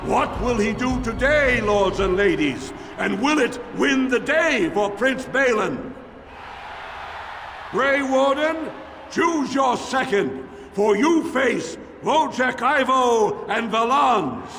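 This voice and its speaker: A man's voice: extremely high pitch, lively intonation, slow, heavily acted. An actor playing a grandly ceremonial male dwarf arena master in a fantasy game, announcing fights in formal, declamatory style. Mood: grand, rousing. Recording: long hall reverb, music behind.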